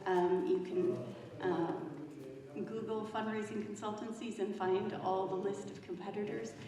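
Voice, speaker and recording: A woman gives an earnest, steady talk at a conference, circling back to her main points. She sounds distant in a large reverberant hall. An audience murmurs in the background. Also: mid-range voice, a little slow, a crowd in the background. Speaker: fundraising consultant. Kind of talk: conference presentation. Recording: echoey hall from far off, audience noise.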